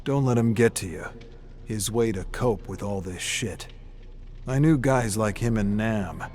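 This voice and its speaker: Low-voiced grizzled war veteran played by a man, world-weary. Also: a little slow, natural voice. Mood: gruff, irritated. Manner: folksy tough-guy slang, world-weary. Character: grizzled, cynical older male war veteran. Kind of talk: dramatic scripted story narration with character voice. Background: loud music.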